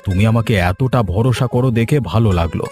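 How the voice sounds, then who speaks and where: A man's voice: medium pitch, a little fast, mellow, soft background music, lightly acted. A man voicing an earnest, trusting and poor village fish farmer in a cartoon folk tale. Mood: earnest, hopeful. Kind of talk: cartoon fairy-tale dialogue.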